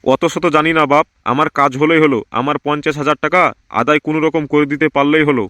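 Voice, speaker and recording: A man as a fast-talking fretful cartoon village father. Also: a little high, little pitch movement, fairly bright tone, clean tone, no echo, lightly acted. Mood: insistent. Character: fretful village father chasing his owed money. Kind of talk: comedy cartoon dub.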